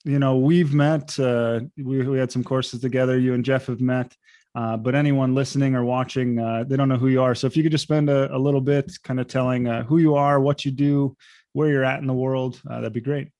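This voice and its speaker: A man co-hosting a podcast, introducing and questioning a guest in a casual, relaxed way. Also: medium pitch, a little fast, no room sound, studio quality. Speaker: podcast co-host. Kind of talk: podcast interview.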